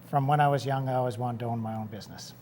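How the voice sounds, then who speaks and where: A Canadian-accented businessman from North Vancouver gives steady, matter-of-fact answers as a guest in a TV studio interview. His voice sits at a middle pitch. A faint ambient hum runs underneath. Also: racing.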